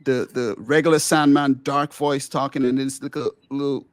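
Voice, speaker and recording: A middle-aged man from Grenada hosting a casual podcast interview, with a light, somewhat squeaky voice, talking eagerly and rambling with many restarts. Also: flat delivery, normal speed, no echo. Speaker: podcast host and musician.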